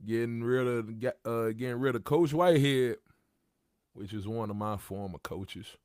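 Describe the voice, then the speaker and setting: A man's voice: mid-range voice, normal speed, darkish tone, no room sound, clean studio sound. A man in his thirties hosting a livestream, talking casually with slang and repeating his points. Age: 30s. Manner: gravelly, conversational, repeats himself, street slang. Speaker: livestream host.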